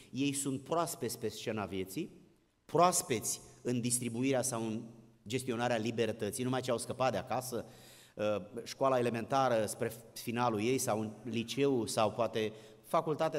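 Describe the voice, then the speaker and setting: A man preaching a sermon in a plain-spoken, didactic manner, addressing his listeners directly. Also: mid-range voice. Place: large indoor hall with long reverb. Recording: large reverberant hall.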